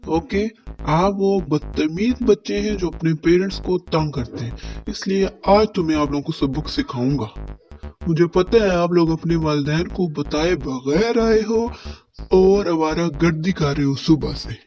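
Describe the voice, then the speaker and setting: A man's voice: a little high, a little slow, darkish tone, dull audio, faint music behind, natural voice. A man voicing a stern, scolding police officer in a children's cartoon, commanding and lecturing.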